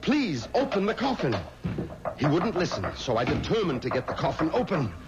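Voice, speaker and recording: An actor playing a stubborn, pious man in a 1970s radio horror drama, speaking in a dramatic, deliberate way. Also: a little high, big pitch swings. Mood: urgent. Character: stubborn, pious man recounting a graveyard haunting. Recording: muffled old radio recording with music.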